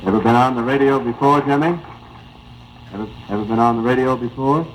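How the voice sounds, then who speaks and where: Male American interviewer, middle-pitched, bantering through a 1930s sports radio segment with faint noise and distortion. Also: brisk. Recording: 1935 AM radio broadcast, noisy, slightly distorted.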